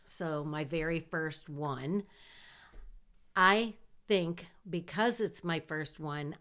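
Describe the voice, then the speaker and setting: Slow-talking nasal woman. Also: low-pitched. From southern Michigan. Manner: slow, chatty, self-deprecating with small laughs. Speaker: podcast host. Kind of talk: casual personal monologue.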